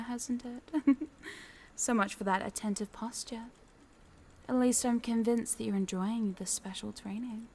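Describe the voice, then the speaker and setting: A woman's voice: mid-range voice, darkish tone, natural voice. A woman playing a smug, possessive corporate boss in a scripted audio roleplay, teasing and condescending, sometimes dropping into a whisper. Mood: amused, condescending.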